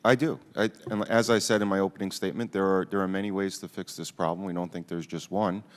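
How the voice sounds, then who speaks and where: A man with a low, deep voice answers as a witness in formal testimony. He is direct and plain-spoken. The hearing room gives his words a long reverb. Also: steady tone, quick pace. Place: large indoor committee hearing room.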